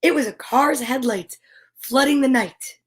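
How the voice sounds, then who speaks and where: A woman with a high voice reads a children's picture book aloud. She takes her time, drawing words out expressively and dropping in chatty asides as the host of the storytime. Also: a little slow.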